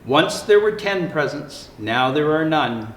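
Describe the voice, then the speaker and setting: A man playing a jolly old Santa Claus, reading picture books aloud to children in a theatrical, unhurried, expressive storytelling manner. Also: a little high. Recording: roomy echo, faint background noise.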